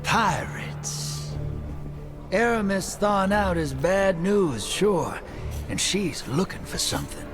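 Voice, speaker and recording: An actor playing a streetwise rogue in a video game, with an easygoing swagger and a wry, folksy drawl. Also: male voice, high voice, sing-song, slow, exaggerated voice. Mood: sly, confident. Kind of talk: video game cutscene acting. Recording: music behind the voice.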